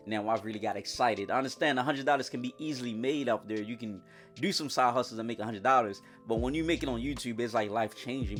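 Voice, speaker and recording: A man's voice: mid-range voice, fairly bright tone. A young man from Congo whose first language is French, talking casually and energetically straight to his viewers in a vlog, full of slang. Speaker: YouTuber. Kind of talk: casual motivational vlog talk.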